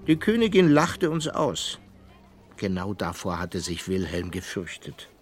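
An actor playing a wistful older brother who narrates a fairy-tale adventure in a children's radio play, measured and expressive. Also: male voice, low-pitched, faint music behind. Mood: grave. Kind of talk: scripted first-person storytelling in children's radio play.

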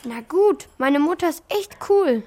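An actor in a children's radio play voicing an excitable, shy young schoolboy with a candid, hesitant manner. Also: high voice, a little slow.

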